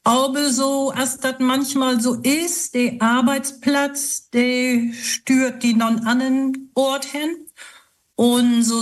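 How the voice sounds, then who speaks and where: A woman in her sixties from East Frisia with an East Frisian Low German accent, speaking slowly and deliberately as a guest. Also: recorded from a distance. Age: 60s. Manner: slow, deliberate.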